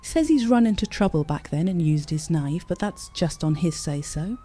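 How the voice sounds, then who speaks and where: A woman's voice: fairly low pitch, animated, moderate pace, mellow. A woman acting as a cynical, scornful character in an audio drama, blunt and dismissive. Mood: shrewd, blunt.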